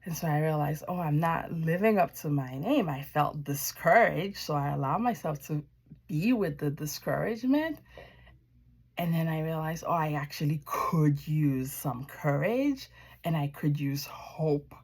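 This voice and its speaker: A woman from Haiti shares a personal testimony with viewers in a reflective, deliberate way, often pausing mid-sentence. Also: low-pitched, expressive, darkish tone, husky. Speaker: wellness practitioner giving testimony.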